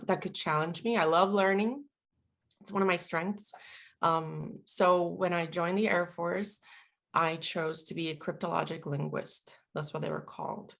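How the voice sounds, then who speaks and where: Low-voiced gravelly woman from Puerto Rico. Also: fairly bright tone. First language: Spanish. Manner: reflective, chatty, many fillers. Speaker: guest, veteran and linguist. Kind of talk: casual interview talk.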